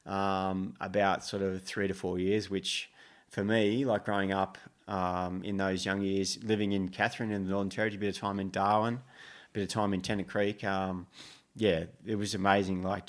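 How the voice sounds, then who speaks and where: An Australian man in his fifties, a former soldier, chatting in an interview with frequent ums and restarts. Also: lowish voice. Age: 50s. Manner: relaxed, many ums and restarts. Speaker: guest, former special forces soldier. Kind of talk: casual interview. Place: living room at home.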